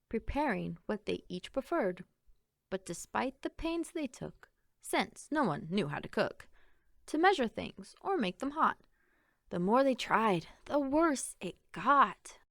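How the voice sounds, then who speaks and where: A woman reading a children's picture book aloud in an expressive, theatrical storytelling manner with emphatic phrasing. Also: medium pitch, vocal fry, dry recording, clean studio sound.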